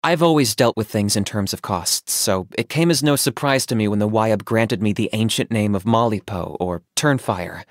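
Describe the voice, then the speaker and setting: An actor voicing a stoic, businesslike young male saurian hunter in a video game, speaking in a measured, matter-of-fact deadpan. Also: on the low side, no room sound, clean studio sound, natural voice. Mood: calm, dry. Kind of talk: scripted video game character monologue.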